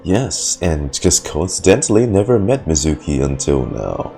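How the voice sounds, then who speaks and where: A woman's voice: extremely low pitch, sing-song, normal speed. A woman doing casual, playful live reaction commentary on a video game, slangy and prone to swearing. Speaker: gaming reaction streamer. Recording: game music behind the voice.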